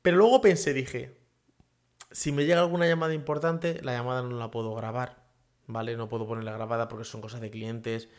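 A man's voice: mid-range voice, steady tone, brisk, a little mellow. A man hosting a casual solo podcast from his home office, rambling with many fillers and tag questions. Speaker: podcast host.

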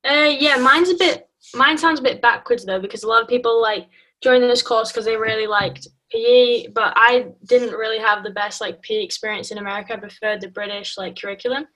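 A woman's voice: on the high side, fairly even intonation, brisk, fairly bright tone, rough recording. A young adult woman student chatting casually, dropping "like" into her sentences. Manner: informal, uses "like" often. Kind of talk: casual chat.

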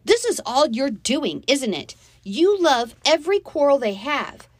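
A woman's voice: sits high, sing-song, a little slow, dry recording, pitched above the performer's own voice. A woman voicing an angry, emotional young girl who makes accusations in an audiobook story. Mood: furious.

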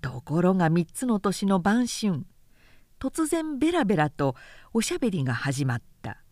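A woman's voice: mid-range voice, big pitch swings, moderate pace, darkish tone, no room sound, clean studio sound. A woman narrator reading a literary story aloud as scripted narration.

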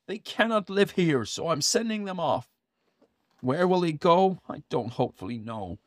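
A man's voice: on the high side, very animated, normal speed, dry recording, studio quality, pitched above the performer's own voice. A man voicing a small, cranky male forest creature who scolds in rhyme, read from a storybook. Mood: indignant. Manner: scolding, indignant rhyming speech. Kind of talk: storybook reading aloud.

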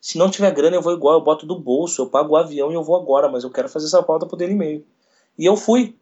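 A radio sports journalist tells his story in an interview. His voice sits a bit high and swings widely as he hurries through the events, acting out what people said. The recording sounds dull and lo-fi. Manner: fast, lively retelling with quoted dialogue.

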